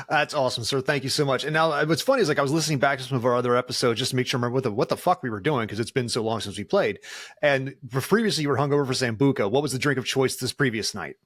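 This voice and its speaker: A man's voice: mid-range voice, very fast, fairly bright tone, no room sound, clean studio sound. A man hosting a podcast in casual, jokey banter, chuckling often and swearing casually as he introduces the players. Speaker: podcast host.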